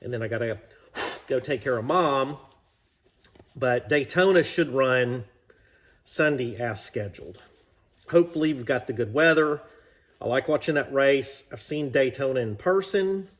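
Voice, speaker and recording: A man's voice: medium pitch, measured pace, long pauses. An American man rambling casually and informally in a folksy way, thinking aloud as he hosts a garage vlog. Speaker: vlog host. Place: home garage workshop.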